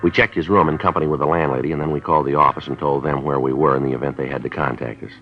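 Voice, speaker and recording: A terse police sergeant in an old radio crime drama, reporting times and facts in a clipped manner. His voice is very deep and the delivery is quick. The recording is dull and lo-fi, with faint music behind it. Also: slight background noise. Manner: clipped, flat, matter-of-fact. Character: terse male police sergeant narrating, middle-aged. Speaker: detective narrator. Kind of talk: 1950s radio drama narration.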